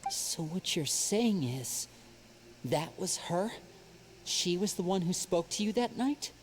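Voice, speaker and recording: An actor playing a confident, charming young stage magician who questions his younger brother in a smooth, probing, gently teasing way. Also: high voice, lively intonation, slow, slight background noise. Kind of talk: video game voice acting. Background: game music.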